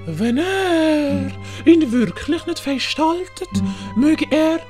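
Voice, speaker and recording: A woman's voice: sits high, animated. A woman voicing a haughty, courteous duke in an audiobook, speaking pompously. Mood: stern, courteous. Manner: pompous, measured. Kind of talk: audiobook character acting. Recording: prominent music behind the voice.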